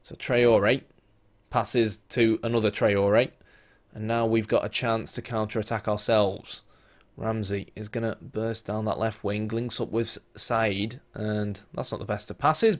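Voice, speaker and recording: A man's voice: fairly low pitch, a little slow. Chatty man losing his voice. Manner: chatty, thinks aloud with many fillers, bursts into excited play-by-play. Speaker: gaming YouTuber. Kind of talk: casual football manager commentary.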